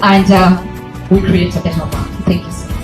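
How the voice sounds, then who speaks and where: A woman's voice: on the low side, steady tone, a little fast. A woman from Kenya, speaking earnestly in a recorded video, with some fillers. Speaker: scout, youth activist. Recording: video clip with guitar music behind. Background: guitar music.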